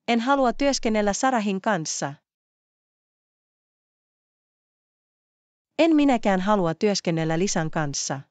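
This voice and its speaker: A woman voicing an earnest schoolgirl in a scripted language-learning story, speaking deliberately in simple phrases. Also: mid-range voice, a little mellow, no room sound, natural voice. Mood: reluctant, annoyed. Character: schoolgirls Lisa and Sarah, earnest classmates making up.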